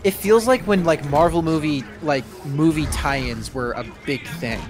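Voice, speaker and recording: A man's voice: fairly high pitch, unhurried, music in the background. A man chatting casually over gameplay as a streamer, thinking aloud and giving his opinions. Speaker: game streamer. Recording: steady background game noise. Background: video game sounds.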